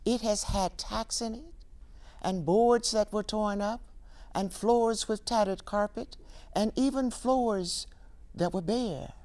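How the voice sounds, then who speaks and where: An older actress playing a wry, grieving, defiant ageing woman in a theatrical monologue, with deliberate pauses and emphatic delivery. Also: mid-range voice, a little mellow, close to an everyday voice. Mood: reflective. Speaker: stage actor performing a monologue.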